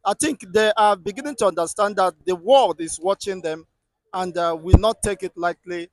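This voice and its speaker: A man's voice: high voice, moderate pace, no room sound. A man joining a political discussion as co-commentator, adding short remarks. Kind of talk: political talk.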